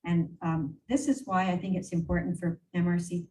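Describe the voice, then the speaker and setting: A woman's voice: fairly low pitch, little pitch movement, normal speed, dark tone. A woman reporting to a council meeting, chatty and rambling, with many fillers and restarts. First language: English. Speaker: regional council warden reporting to mayors. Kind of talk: meeting report, casual and unscripted. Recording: distant voice, faint room noise.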